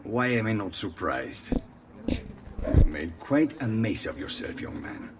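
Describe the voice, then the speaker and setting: An actor playing a weary, disapproving older Florentine doctor in a video game. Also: male voice, on the low side, big pitch swings, slow pace, very noisy. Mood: weary, reproving. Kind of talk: video game character acting.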